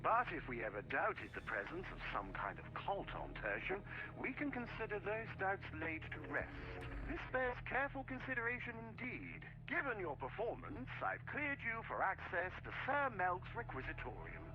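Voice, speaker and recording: An actor playing a sardonic, superior interrogator in a video game, dry and arch while giving orders. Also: male voice, high-pitched, wildly swooping pitch, moderate pace, lo-fi sound, music in the background. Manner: dry, arch, swooping emphasis. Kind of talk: video game cutscene acting. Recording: clear noise behind.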